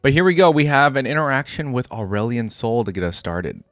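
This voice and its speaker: A man's voice: medium pitch, brisk, dry recording, studio quality, soft background music. A man casually reading aloud and reacting as a video host, easygoing and chatting to his viewers.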